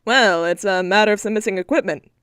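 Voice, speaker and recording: A woman's voice: mid-range voice, quick pace, fairly bright tone, clear, resonant tone, dry recording, studio quality, close to an everyday voice. Wry rich industrialist, voiced by a woman. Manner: wry, businesslike. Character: wealthy middle-aged male industrialist, wry.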